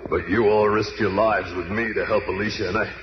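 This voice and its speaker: An actor playing a gruff, gravelly, big-hearted brawny monster hero in a radio superhero drama. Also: male voice, on the low side, steady tone, brisk, noisy background, natural voice. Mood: dejected. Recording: dull, echoey old radio recording.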